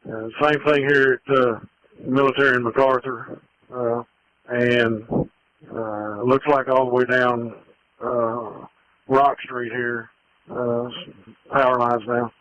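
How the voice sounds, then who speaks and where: A man speaking as a police supervisor over two-way radio, urgent and pausing between phrases. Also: mid-range voice, level tone, fairly bright tone, dry recording, dull audio. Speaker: police supervisor at a disaster scene.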